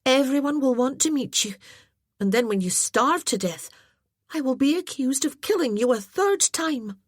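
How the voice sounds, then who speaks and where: A woman's voice: high-pitched, expressive, normal speed, dry recording, clean studio sound, pitched above the performer's own voice. A woman voicing a clumsy, tearful young noblewoman who talks in a breathless, apologetic rush. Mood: nervous, eager.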